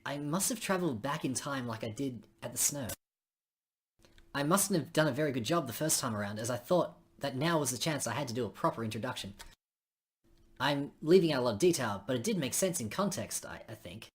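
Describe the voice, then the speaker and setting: Very low, husky-voiced woman. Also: animated, brisk. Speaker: streamer reading a visual novel aloud. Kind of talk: reading game dialogue aloud with casual asides.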